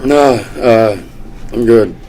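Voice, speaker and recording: A man playing a withdrawn, guilt-laden young man who speaks in halting, terse fragments at a script table read. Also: mid-range voice, warm tone, slight background noise, low-quality recording. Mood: subdued.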